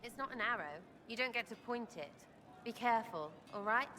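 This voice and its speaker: A woman's voice: fairly high pitch, lively intonation, a little fast, bright, forceful tone, long reverb tail, slight background noise. An actress playing a blunt, wary, irreverent young woman in a video game, with choppy, slangy phrasing. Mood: earnest, wary. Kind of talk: video game dialogue acting.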